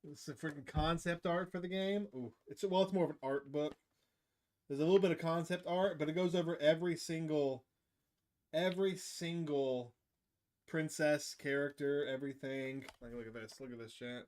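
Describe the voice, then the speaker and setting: A man's voice: a little high, steady tone, normal speed. A man hosting a gaming livestream, chatting casually and enthusiastically with his viewers. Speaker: livestream host. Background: game music.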